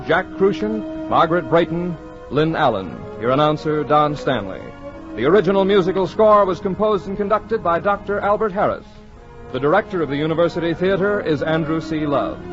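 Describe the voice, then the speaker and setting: 1940s American male announcer, scripted and formal, carefully enunciated, muffled old broadcast with faint music behind. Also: fairly high pitch. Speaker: radio announcer. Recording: dull vintage 1940s radio broadcast recording.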